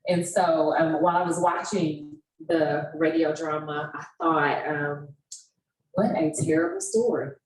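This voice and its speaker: Low-voiced woman panelist, echoey room. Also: steady tone, measured pace. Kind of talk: impassioned, conversational panel commentary. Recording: echoey room, distant mic, faint noise.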